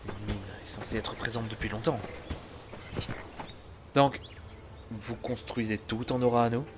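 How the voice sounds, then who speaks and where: A man's voice: mid-range voice, fairly even intonation, measured pace, slight background noise, lightly acted. A man playing a sardonic, skeptical traveler from an advanced parallel world in a sci-fi fantasy audio drama, dry and condescending in manner. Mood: pensive. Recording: voice under loud background music.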